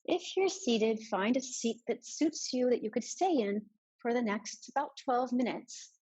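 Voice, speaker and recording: A woman leading a guided meditation as a yoga teacher, giving soft instructions with many pauses. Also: a little high, lively intonation, slightly rough, rough recording.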